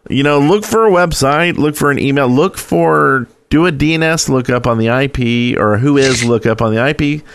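A relaxed man hosts a casual podcast, chatting in an easygoing way with lots of filler words. His voice sits in a middle range. The recording sounds dry and close, with little room sound. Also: mid-range voice, moderate pace, few pauses, dry recording. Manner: relaxed, frequent "uh" fillers, gives practical advice. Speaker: podcast host.

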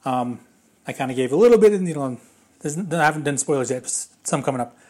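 A man with a slightly raspy voice giving a casual, rambling spoken movie review, full of fillers and restarts. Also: on the high side, lively intonation, fast talker, darkish tone.

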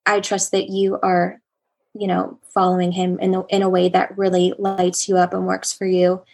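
Calm-voiced woman podcast guest. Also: fairly low pitch, normal speed, gravelly. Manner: calm, soothing voice. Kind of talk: casual conversational interview.

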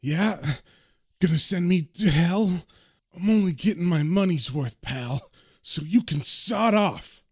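Defiant British trickster, done by a male storyteller, higher-pitched, sardonic, slangy and taunting. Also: expressive, takes it slowly, slightly rough, dry recording, clean studio sound, heavily acted. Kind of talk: dramatic comic-book storytelling character voice.

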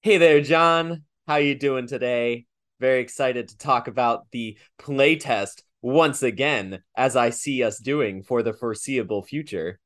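Bright, forward-sounding male host, casual and rambling. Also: medium pitch, measured pace. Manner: rambling, hesitant, many fillers. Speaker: podcast host and game master. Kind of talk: casual chat.

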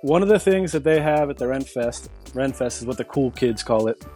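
A man's voice: mid-range voice, animated, brisk, mellow, soft background music. A middle-aged man co-hosting a podcast, telling stories with enthusiasm in casual chat. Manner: enthusiastic storyteller. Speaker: podcast co-host.

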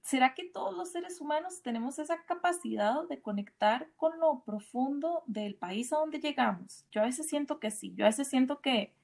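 A woman's voice: high voice, animated, moderate pace, gravelly, hardly any pauses, a little mellow. A woman from Rica, interviewing a guest on a video call. She asks musing questions and laughs easily. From Costa Rica. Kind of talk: casual video call conversation.

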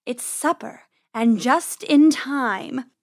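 A woman voicing a sly, haughty female cat in a children's storybook, purring and smug, with drawn-out words. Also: high-pitched. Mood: smug, menacing.

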